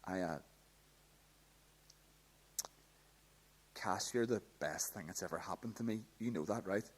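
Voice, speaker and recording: A tender teenage boy in a radio play. His voice is very low and husky, and he talks haltingly, with gentle teasing and open affection. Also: rough recording. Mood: tender, elated. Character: Ethan, teasing, tender, once-bullied teenage boy in love. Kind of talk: radio drama acting.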